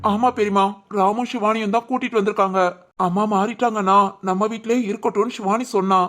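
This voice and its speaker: A woman's voice: mid-range voice, fairly even intonation, fast, faint music behind, close to an everyday voice. A woman playing an anxious, respectful young son in a family audio drama, earnest and pleading. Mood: worried.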